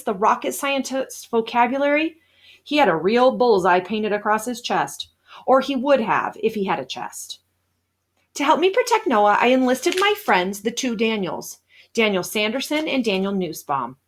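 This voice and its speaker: A woman narrating a children's novel aloud in an expressive, storytelling style. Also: mid-range voice. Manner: animated, acts out dialogue.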